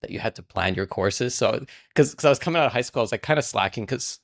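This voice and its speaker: Man in his forties from Russia, gravelly voice, rambling podcast guest, lots of "like". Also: mid-range voice, expressive, quick pace. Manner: rambling, fills speech with frequent "like". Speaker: podcast guest, game programmer. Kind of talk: casual interview chat.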